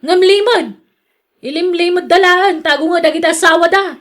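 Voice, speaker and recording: High-pitched nagging Ilocano wife played by a woman. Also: normal speed, a bit bright. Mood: scolding, complaining. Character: nagging, jealous wife, hardworking and exasperated. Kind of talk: radio drama acting.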